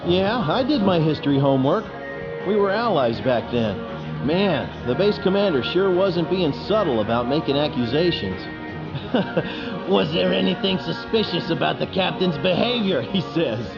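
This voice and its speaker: A man's voice: fairly high pitch, expressive, moderate pace. A man playing a cocky, sarcastic, slangy fighter pilot in a video game. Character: cocky, wisecracking male fighter pilot, irreverent. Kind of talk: game cutscene voice acting. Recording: dull sound under clear music.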